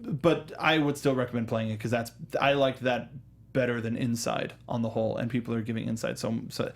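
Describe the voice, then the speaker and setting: A man's voice: medium pitch, fairly even intonation, fairly quick, vocal fry. Man hosting a casual film discussion. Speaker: talk show host.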